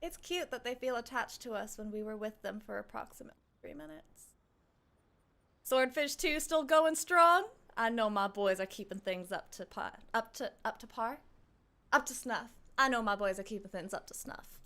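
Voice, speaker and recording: A woman's voice: a little high, lively intonation, moderate pace, sharp tone. A woman giving chatty, rambling, playful casual commentary as she plays through a game. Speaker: game streamer. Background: game music.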